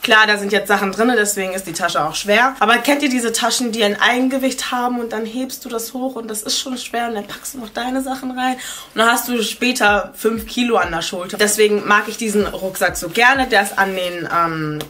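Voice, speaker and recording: A woman's voice: a little high, a little fast, sharp tone. A woman in her twenties, from Ghana, chatting casually to camera at home as a vlogger, dropping playful English asides. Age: 20s. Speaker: beauty and lifestyle vlogger. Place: living room at home, indoors.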